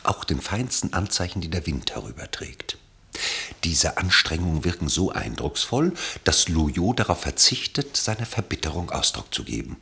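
Deep, husky male narrator, expressive. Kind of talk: scripted literary narration for radio play.

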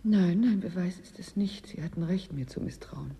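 A woman's voice: fairly low pitch, moderate pace, vocal fry, mellow. Anxious trapped woman, radio drama, intimate plain speech, long reverb. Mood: quiet, confessional. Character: anxious woman trapped under ice, confessing.

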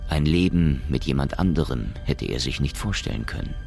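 A solemn narrator for a science fiction radio drama. The voice is extremely low and gravelly, with measured storytelling over clearly audible music. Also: male voice, lively intonation, a little mellow, heavily acted. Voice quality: very deep, gravelly.

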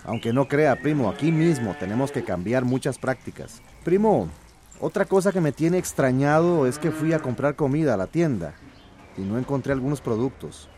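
A man acting a worried, determined farmer in a radio soap, speaking earnestly and gravely. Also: medium pitch, expressive, moderate pace, a little mellow, slight background noise, natural voice.

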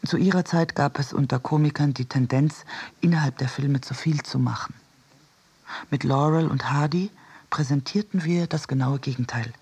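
A man reading a scripted literary essay for radio in a measured, deliberate manner. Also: fairly high pitch, vocal fry, darkish tone, breathy edge. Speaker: reader in a radio production.

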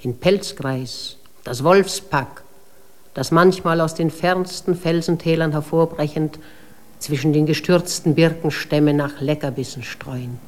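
An actress playing a proud, wild river spirit, reciting a lyrical, solemn monologue in a poetic radio play. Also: female voice, deep voice, a little slow. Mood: solemn, flowing. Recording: noisy recording with ambient sound.

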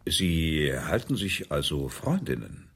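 A man's voice: rumbling low, sing-song, slow, breathy edge, heavily acted. A male actor in a radio crime drama playing a formal, suspicious police investigator who questions in an officious, probing way.

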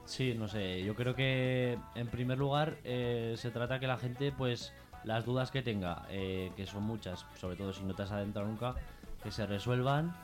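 A man's voice: medium pitch, normal speed, over music. A man with a Peninsular Spanish accent speaking informally as an activist guest in a radio interview, hesitating, rambling and repeating himself.

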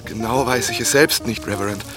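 An actor playing an earnest writer investigating a case, narrating and talking in an audio drama. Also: male voice, medium pitch, brisk, music in the background, close to an everyday voice. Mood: measured.

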